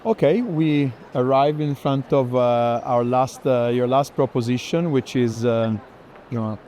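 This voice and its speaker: Mellow Italian man hosting, thinking aloud. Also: medium pitch, unhurried. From Florence, Italy. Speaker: host, curator. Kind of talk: conversational interview during a fair tour. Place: indoor art fair, on the move. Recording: light ambient noise of a busy fair.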